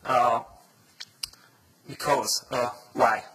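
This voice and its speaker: A man's voice: medium pitch, fairly even intonation, extremely slow, pushed and bright, slightly rough. A man with a Hungarian accent giving a conference talk, reading a prepared speech with many hesitations and restarts. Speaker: conference speaker.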